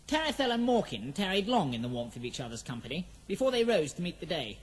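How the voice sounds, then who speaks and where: A man's voice: high-pitched, big pitch swings, fast talker, breathy edge, exaggerated voice, poor audio. A man playing the storyteller of an epic fantasy audio drama, giving formal, dramatic scripted narration. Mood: dramatic, measured.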